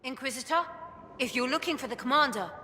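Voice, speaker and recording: Casting: dutiful young female messenger, game bit part, high voice, formal, echoing hall. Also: fast, sharp tone. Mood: helpful, formal. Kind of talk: video game character acting. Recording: reverberant, noisy hall ambience.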